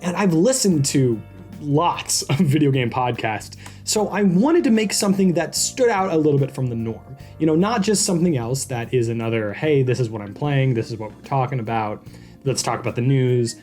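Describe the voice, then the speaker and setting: A man's voice: medium pitch, lively intonation, moderate pace, darkish tone, soft background music. A man speaking as a podcast host, introducing things to listeners in an informal, upbeat and enthusiastic way.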